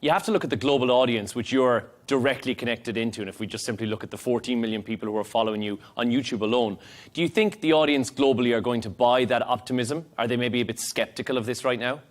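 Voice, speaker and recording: A man's voice: mid-range voice, fast. A man presenting television news and interviewing guests as a studio anchor. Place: broadcast TV studio.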